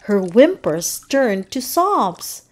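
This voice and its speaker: A woman narrates a children's story in an expressive read-aloud style. Her pacing is extremely slow and deliberate, and her pitch moves in lively, dramatic swings.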